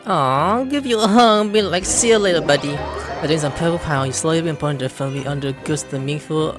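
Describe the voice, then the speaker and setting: Low-voiced woman commenting over a game. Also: fairly quick, over music. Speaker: gaming video host. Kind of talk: casual gameplay commentary, reading dialogue aloud.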